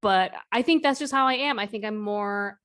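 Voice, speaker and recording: A young woman with a low, quiet voice talks in a relaxed, reserved way. She co-hosts a casual podcast conversation and never gets loud or animated. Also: moderate pace, clear, resonant tone. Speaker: podcast co-host. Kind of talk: casual chat.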